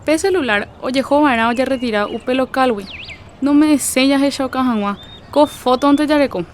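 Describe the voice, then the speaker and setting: A woman's voice: high voice, normal speed, a little hiss or hum, lightly acted. An actress playing a woman who runs a village fair meeting, listing prizes and teams. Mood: brisk. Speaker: meeting organizer. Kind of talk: radio drama acting.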